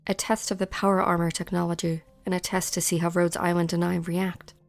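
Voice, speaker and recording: A woman playing a calm, guarded fighter who tends wounds, measured and analytical, in a fan-dubbed game drama. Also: on the low side, creaky, soft background music. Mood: cool, guarded. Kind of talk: scripted fan-dub game drama acting.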